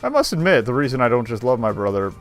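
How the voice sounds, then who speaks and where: A man's voice: medium pitch, huge pitch swings, quick pace. A male game streamer reading visual novel narration aloud, with wry, sardonic asides. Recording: faint music behind voice.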